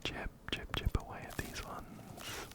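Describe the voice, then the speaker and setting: A man whispering softly and breathily into a close binaural microphone, giving gentle ASMR game commentary and often repeating words rhythmically. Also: a little slow. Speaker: ASMR gaming video host. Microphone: close-up binaural microphone, ear to ear.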